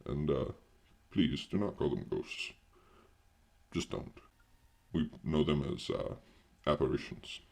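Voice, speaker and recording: A man acting in an audio horror drama as a grave, earnest older doctor who hunts apparitions, speaking in a formal, halting, pedantic manner with a gravelly voice. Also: very deep voice, darkish tone, exaggerated voice.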